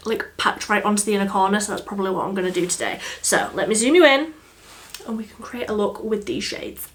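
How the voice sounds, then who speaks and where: A young woman with a slightly croaky voice from a cold, chatting casually through a makeup tutorial as a beauty vlogger. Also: medium pitch, a bit bright. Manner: chatty, easygoing, thinking aloud.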